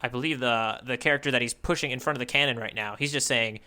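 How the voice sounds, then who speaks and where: A man of average pitch with a very bright, crisp-edged tone talks casually as a podcast co-host. He is wry, and he breaks off and restarts his sentences as he thinks aloud. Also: fast. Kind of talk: casual chat.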